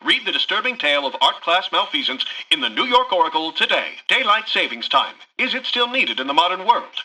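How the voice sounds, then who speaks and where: A man's voice: high voice, very animated, brisk, bright, forceful tone, heavily acted, rough recording. A male voice actor playing a sensational old-time American radio newscaster for a video game, with a punchy, theatrical announcing style. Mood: sensational, dramatic. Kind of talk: 1940s radio newsreel announcing, scripted.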